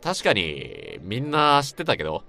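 A man playing a blunt, teasing but caring old friend in a radio drama, speaking casually and roughly. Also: fairly low pitch, wildly swooping pitch, normal speed, pushed and bright, full character voice. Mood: reassuring.

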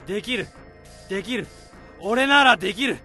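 A man's voice: sits high, lively intonation, normal speed, bright, forceful tone, slightly rough, soft background music, exaggerated voice. A man voicing a harried office worker who narrates in first person as he hides his boss's body, in a tense radio-drama monologue. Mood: frantic resolve. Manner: tense inner monologue, rising to frantic self-pep talk. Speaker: narrator and protagonist.

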